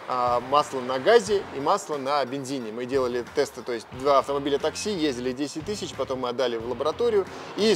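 A man's voice: medium pitch, noisy background. Chatty man explaining his car engine. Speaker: car vlogger. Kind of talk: casual car vlog explanation. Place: car service garage, indoors, and outdoors near car.

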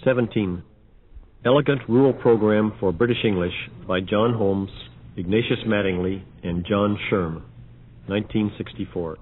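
A man reading scripted, numbered announcements in a measured, matter-of-fact narrator's manner. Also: lowish voice, husky. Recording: dull band-limited old audio.

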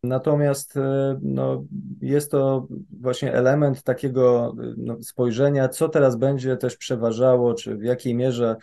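Hesitant, mellow-voiced male analyst. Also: medium pitch, little pitch movement, measured pace, vocal fry. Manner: hesitant, many fillers and restarts. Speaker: policy analyst guest. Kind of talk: spoken expert commentary.

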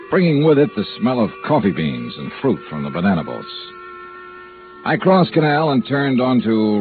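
An actor playing a dogged, laconic insurance investigator in a vintage radio detective drama, narrating and questioning in a clipped, matter-of-fact way. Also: male voice, fairly low pitch. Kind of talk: 1950s radio detective drama acting, first-person narration. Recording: old narrow-band radio recording with music.

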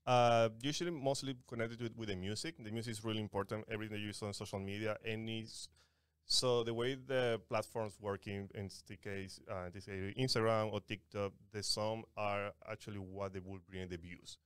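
A man from Venezuela with a Venezuelan Spanish accent, a wedding content creator guesting on a podcast, speaking halting English with restarts on a studio mic. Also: on the low side, steady tone, clean tone, dry recording. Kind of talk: casual podcast interview. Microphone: studio mic on boom arm, headphones.

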